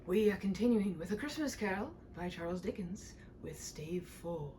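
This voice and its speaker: Woman, animated storyteller, playful and dramatic, wide swings in pitch, faint background noise. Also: normal speed. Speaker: channel host reading a classic aloud. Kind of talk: chatty host talk and expressive storybook narration.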